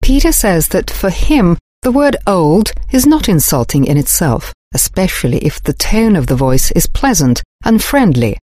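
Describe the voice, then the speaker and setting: Actress as an older journalist: low, lively intonation, measured and explanatory, dry studio read. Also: female voice, dry recording. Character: older woman journalist, calm polyglot. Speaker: interviewee. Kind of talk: scripted textbook dialogue.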